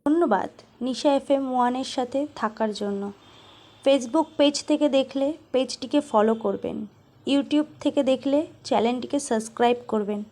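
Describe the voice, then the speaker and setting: High-voiced woman reading an outro. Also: unhurried, dark tone. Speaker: channel host. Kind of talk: scripted channel outro.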